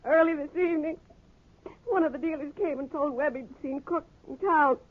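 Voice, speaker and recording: A woman's voice: high voice, moderate pace, natural voice. An American actress playing a frightened, earnest young saloon woman in a 1950s radio Western, nervous and urgent. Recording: tinny, narrow-band old radio recording.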